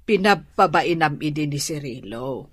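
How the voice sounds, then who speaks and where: A woman's voice: deep voice, expressive, unhurried, slightly rough, no room sound, studio quality, heavily acted. A woman with an Ilocano accent playing a concerned, patient mother in a radio drama.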